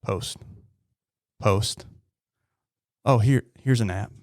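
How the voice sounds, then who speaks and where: A man in his thirties talking bluntly and earnestly on a podcast, often halting and repeating his words. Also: on the low side, a little mellow, no echo, studio quality. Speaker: podcast host, police officer. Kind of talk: heartfelt interview talk.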